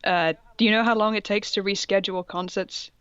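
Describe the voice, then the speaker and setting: A woman's voice: mid-range voice, fairly even intonation, brisk, a bit bright, clear, resonant tone, no echo, highs cut off. A woman co-hosting a comedy podcast, reading fan fiction aloud and breaking off for sarcastic, jokey comments.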